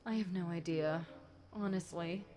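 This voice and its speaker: A woman's voice: lowish voice, warm tone, lightly acted. A woman playing a chatty, centuries-old fallen angel demon girl, talking wryly and conversationally to one listener in an audio roleplay. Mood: exasperated musing. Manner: conversational, wry, unhurried, dramatic musing. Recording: clear background noise.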